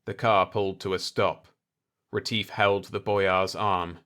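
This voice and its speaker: A man's voice: sits low, steady tone, measured pace, fairly bright tone. A man reading scripted science fiction narration as an audiobook narrator.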